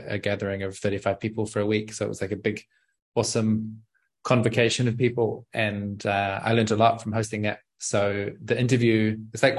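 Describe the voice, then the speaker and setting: A young man, talking casually and thoughtfully as an interview guest, often pausing with ums and small laughs. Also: fairly low pitch, vocal fry. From New Zealand. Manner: thoughtful, searching for words, frequent ums and laughs. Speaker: podcast guest and channel host.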